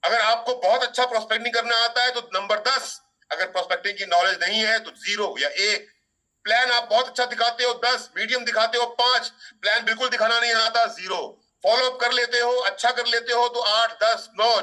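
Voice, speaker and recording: Brief: high, very bright male trainer, rousing and preachy, recorded from a distance. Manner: rousing, uses battle metaphors, mimics whiny excuses. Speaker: network marketing trainer. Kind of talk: motivational training talk.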